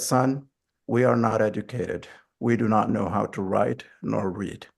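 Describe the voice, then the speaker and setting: Gravelly man, earnest. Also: lowish voice. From Morocco. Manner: earnest storytelling. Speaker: school board candidate. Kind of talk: interview answers.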